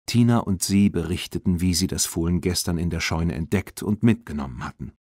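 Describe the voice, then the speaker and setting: A man reading a children's audiobook as narrator, in an expressive storytelling style. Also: sits low, brisk, no echo, studio quality.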